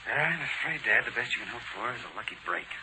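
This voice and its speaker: An actor playing a calm, analytical gentleman detective in a 1940s radio drama, on an old hissy recording. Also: medium pitch, fast talker, poor audio. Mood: thoughtful, measured.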